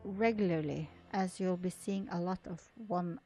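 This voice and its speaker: A woman's voice: lowish voice, fairly even intonation, moderate pace, mellow, soft background music. A woman whose first language is not English, reading an article aloud with casual commentary as a video host, often stumbling over words and restarting. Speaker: gaming video creator and host.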